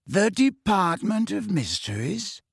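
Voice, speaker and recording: A man's voice: high voice, very animated, slow, gravelly, husky, dry recording, studio quality, pitched above the performer's own voice, full character voice. A man doing a dreamy, serene teenage witch. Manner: serene, soft, detached.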